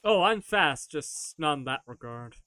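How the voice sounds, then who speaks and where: Male streamer, casual Q&A, self-mocking jokes, voice pitched a touch high, dry close mic. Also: expressive, unhurried, bright, forceful tone, no room sound. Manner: joking and self-mocking, laughs often. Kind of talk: casual live-stream chat answering viewer questions.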